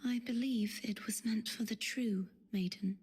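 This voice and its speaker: An actress playing an earnest, sorrowful young maiden knight in a video game, with hushed, solemn, formal speech. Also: female voice, on the high side, fairly even intonation, a little slow. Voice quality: breathy, hushed. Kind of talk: video game character acting.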